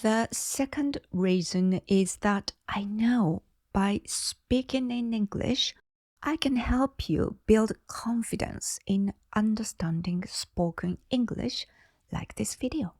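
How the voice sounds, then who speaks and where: Japanese-accented woman, middle-aged, slow careful teacher talk, gravelly edge, dry close mic. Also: mid-range voice, a little mellow, no echo. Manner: slow, deliberate, carefully clear delivery. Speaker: English teacher and channel host. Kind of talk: slow scripted English lesson talk.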